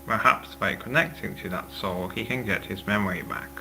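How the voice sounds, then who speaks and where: A man's voice: on the low side, normal speed, sharp tone, soft background music, low-quality recording. A man narrating scripted story action at a table read, with a faint hum behind him.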